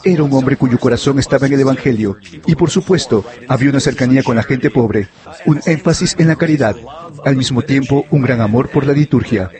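A man giving a voice-over interpretation of an interview, relaying it in a steady, fluent way. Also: mid-range voice, a little fast, crowd noise.

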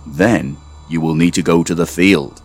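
A big scarecrow farmer dad played by a male narrator, firm and deliberate. He is fond of his family yet exacting, giving orders in a storybook tale over music. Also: very deep voice, steady tone. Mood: instructing. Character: large scarecrow-like farmer father, affectionate but exacting.

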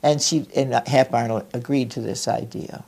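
An older woman who flew during the war, recalling her past in an interview with a measured, reflective manner. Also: a bass voice, steady tone, creaky, warm tone, breathy edge. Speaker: interviewee, former wartime pilot.

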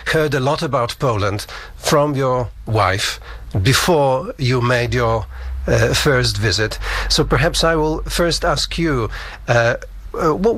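A man of average pitch acts as interviewer. He phrases his questions formally and at length, pausing and hesitating as he builds them. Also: fairly bright tone, no echo.

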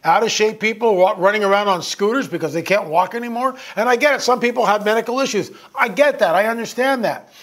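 A man in his sixties with a notably high-pitched voice gives informal, sermon-like commentary. He talks to listeners in a folksy, conversational way, pressing his points with emphasis and rhetorical questions. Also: fast, a bit bright. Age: 60s.

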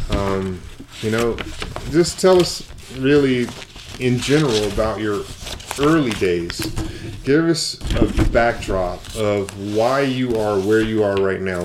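Low-voiced male interview host. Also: slow pace, background noise. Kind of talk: conversational interview.

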